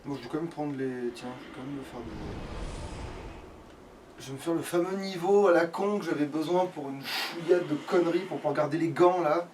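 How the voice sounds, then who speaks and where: A man's voice: on the high side, a little fast. A man chatting casually as he plays a game, grumbling and swearing as a let's player. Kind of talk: casual gaming commentary. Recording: distant mic, loud background noise.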